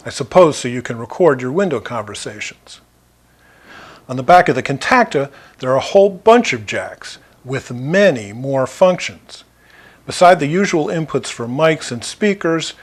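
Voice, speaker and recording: Man, audio installer doing a scripted review. Clear, measured explainer with lively intonation. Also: mid-range voice. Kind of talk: scripted product review narration.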